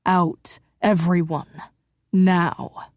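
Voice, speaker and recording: A woman narrator voicing an angry, possessive young husband in an audiobook, speaking in a low, menacing way. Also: little pitch movement, drawn-out words, no echo, clean studio sound. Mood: cold anger. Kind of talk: audiobook character voice.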